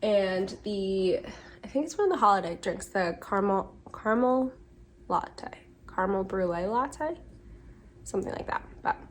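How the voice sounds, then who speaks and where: A woman's voice: medium pitch, lively intonation, normal speed, slight background noise. Chatty young woman with a cold. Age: 20s. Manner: chatty, rambling, with frequent ums and self-corrections. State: slightly congested from a cold. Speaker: podcast host. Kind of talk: casual chat. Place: home during a windy day.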